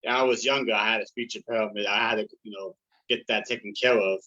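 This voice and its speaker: Male panelist, tense bright timbre, lo-fi sound, halting delivery. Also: fairly low pitch, fairly even intonation, dry recording. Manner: halting, self-deprecating. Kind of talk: casual panel chat.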